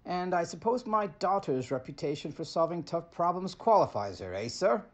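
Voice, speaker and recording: A man's voice: fairly high pitch, very animated, moderate pace. An actor playing a folksy, protective older police inspector and father in an old-time radio drama. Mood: polite, uneasy.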